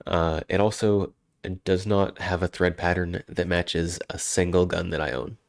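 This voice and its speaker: A man's voice: low-pitched, steady tone, unhurried, gravelly, dry recording, studio quality. A man with a head cold, sounding congested, chatting casually and rambling on a live stream. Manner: rambling, self-interrupting, chuckling.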